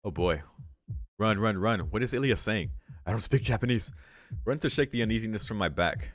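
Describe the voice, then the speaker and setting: A man with a fairly low voice reads game narration aloud and drops in casual comments. The sound is close and very dry. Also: expressive, fairly quick, no echo. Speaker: let's play host. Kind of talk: reading visual novel narration aloud with casual asides.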